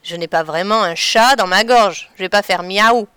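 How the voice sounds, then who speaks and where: A woman addresses children as their teacher, patient and deliberate as she explains. Her voice is smooth and clear. The sound is dry and close. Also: medium pitch, sharp tone, dry recording. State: slightly stuffy from a cold. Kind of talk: children's lesson on figurative expressions.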